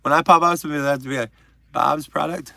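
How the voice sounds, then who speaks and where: A man's voice: a little high, steady tone, racing, pushed and bright, clean tone, dry recording. A man hosting a casual livestream from home, rambling, swearing and joking with his chat. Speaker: livestream host.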